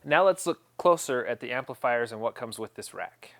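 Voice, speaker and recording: A man's voice: medium pitch, lively intonation, moderate pace, very noisy. A man presenting an instructional equipment setup tutorial, explaining each step in a plain, practical way.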